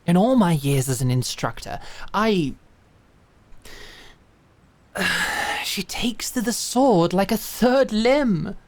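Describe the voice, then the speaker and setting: A woman voicing an earnest, devoted female knight and sword instructor, formal and measured. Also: mid-range voice, expressive. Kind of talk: fantasy audio drama acting.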